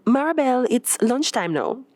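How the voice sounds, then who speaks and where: A woman voicing a friendly, outgoing young female office worker in a story reading, bright and inviting. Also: fairly high pitch, big pitch swings, unhurried, dry recording, pitched above the performer's own voice. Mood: gently inviting.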